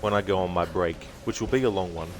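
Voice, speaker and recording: A man's voice: low-pitched, fast, background noise. A man chatting casually to viewers as a game streamer on a livestream.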